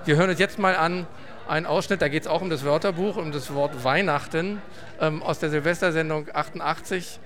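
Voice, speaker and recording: A man's voice: a little high, steady tone, fairly quick, a bit bright. A man hosting a radio show, talking casually and hesitantly with guests, often restarting sentences. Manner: hesitant, searching for words, many restarts. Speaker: radio host.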